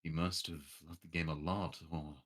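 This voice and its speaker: A man's voice: low-pitched, level tone, normal speed, a bit bright. A man streaming a visual novel, narrating aloud and breaking off into irreverent, sweary joking commentary. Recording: faint music behind the voice.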